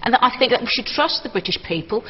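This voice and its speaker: A woman's voice: fairly high pitch, expressive, quick pace. A woman in a studio audience speaking earnestly in a televised debate. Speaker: audience member. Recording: dull TV audio, echoing studio, faint noise.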